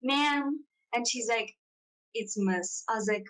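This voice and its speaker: A young adult woman with a South African accent and a fairly high voice. She chats casually as an interview guest, talkative and self-deprecating, often slipping into little acted-out quotes. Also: takes it slowly, fairly bright tone. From South Africa, Johannesburg and Durban. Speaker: podcast guest, content creator.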